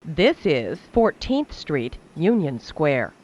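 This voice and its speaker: Slow, measured female transit announcer. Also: low-pitched, big pitch swings, a little mellow, no echo, lo-fi sound. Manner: measured, carefully enunciated, phrase by phrase. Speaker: subway train announcer. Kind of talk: scripted automated transit announcements.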